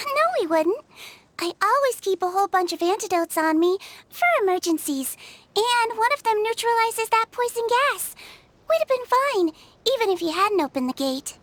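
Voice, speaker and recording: An actress voicing a small, gentle female creature who works as a nurse, speaking in a soft, patient, matter-of-fact way. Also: very high-pitched, lively intonation, normal speed, dry recording. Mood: calm. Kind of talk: video game voice acting.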